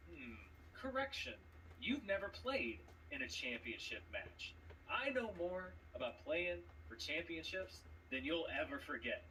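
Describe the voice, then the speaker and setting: A man throws out cocky trash talk in a bright, forceful voice that swings widely in pitch. His delivery is theatrical and pointed, picked up a little far from the mic. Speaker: trivia champion competitor. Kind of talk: trash-talk promo speech. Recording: distant mic with background noise.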